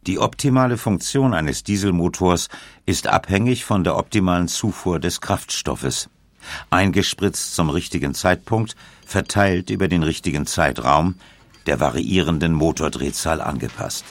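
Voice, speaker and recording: A man narrating a scripted documentary in a measured, precise way. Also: sits low, no echo.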